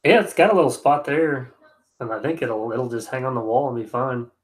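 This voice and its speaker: Man, stuffy nose, laid-back livestream talk, rambling, mid-pitched, at home. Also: a little fast. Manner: easygoing, rambling, frequent restarts. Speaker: excavation contractor streaming live. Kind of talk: casual livestream chat reading comments.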